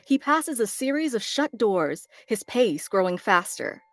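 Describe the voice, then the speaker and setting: A woman's voice: medium pitch, very animated, measured pace, no echo. A woman narrator reading screenplay stage directions as dramatic scripted narration. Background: faint background music.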